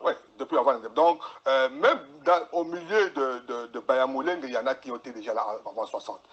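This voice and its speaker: A man's voice: medium pitch, quick pace, a bit bright, husky, muffled recording. A man from DR Congo, a guest analyst giving long explanations and often repeating words as he searches for them. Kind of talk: explanatory interview talk.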